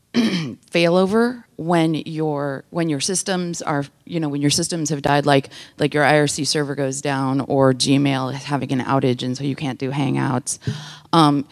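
A woman with a very low voice asks the panel a question from the audience. She talks loudly and chattily, with a joking edge. She is on a handheld mic that cuts out. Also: fairly even intonation, unhurried. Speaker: audience member asking a question. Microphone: handheld mic that cut out.

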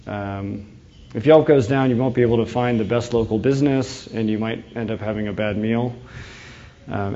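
A man's voice: medium pitch, creaky, dark tone, muffled recording. A man from New England with a New England American accent, giving a conference talk with frequent fillers and restarts. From New England, USA. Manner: hesitant, many "uh" fillers and restarts. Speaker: conference speaker, engineering manager.

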